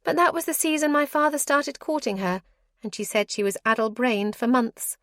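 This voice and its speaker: A woman's voice: high-pitched, lively intonation, normal speed, no echo, clean studio sound, voice pushed up. A woman voicing a bubbly, cheerful young girl. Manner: bright and giggly.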